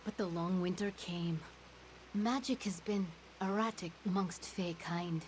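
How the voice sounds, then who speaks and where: Woman voicing a low, solemn fey herald. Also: a little hiss or hum, lightly acted. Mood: mournful, solemn. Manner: grave, measured, formal storytelling. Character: solemn fey herald woman mourning her fallen master. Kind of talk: fantasy game voice acting.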